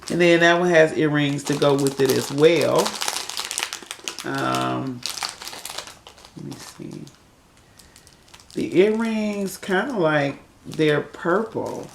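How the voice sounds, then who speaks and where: A woman casually chatting through an unboxing for her viewers, her voice raspy from a cold as she admires each piece. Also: rumbling low, slight background noise. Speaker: unboxing vlogger. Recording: echoey room.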